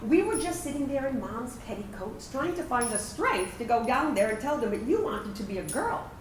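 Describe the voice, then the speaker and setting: Woman playing a wistful veteran, high and animated. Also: normal speed. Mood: wistful reminiscence. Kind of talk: staged reading of a personal narrative play. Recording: roomy, distant microphone, faint background noise.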